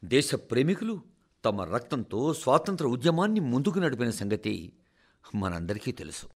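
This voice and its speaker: Male radio reader, mid pitch with highly expressive swings, formal oratorical delivery, solemn and deliberate pauses. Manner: solemn, oratorical, measured phrasing with dramatic pauses. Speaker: radio reader of a translated national address. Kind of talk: scripted formal radio address.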